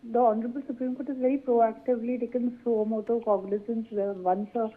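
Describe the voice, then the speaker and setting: A woman with a fairly high voice and an Indian accent comments formally as a legal expert. Her audio is narrow and phone-like. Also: steady tone, fairly quick. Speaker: Supreme Court advocate, news guest. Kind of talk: formal live interview commentary.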